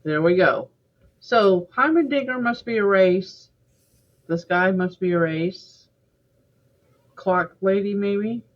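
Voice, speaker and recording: A woman's voice: mid-range voice, takes it slowly, pauses often, muffled recording, a little hiss or hum. A woman co-hosting a reaction show, commenting casually and piecing her thoughts together aloud.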